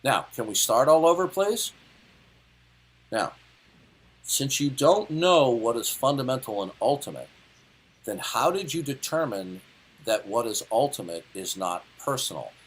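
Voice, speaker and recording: A man's voice: medium pitch, animated, unhurried, pauses often, husky. A man in his late fifties arguing in a heated debate, lecturing and interrupting in a condescending way. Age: 50s. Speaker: debate caller.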